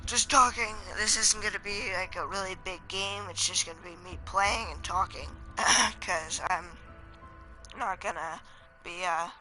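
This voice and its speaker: Young male gaming host, scratchy worn-out voice on the high side, slow rambling chat, loud game music behind. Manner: hesitant, meandering. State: voice strained from yelling. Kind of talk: casual rambling chat over gameplay. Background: video game music.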